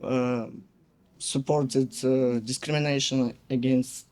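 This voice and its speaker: A man from Russia with a Russian accent, giving a public speech slowly and haltingly with many pauses and fillers. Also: mid-range voice, little pitch movement, darkish tone. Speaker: guest speaker.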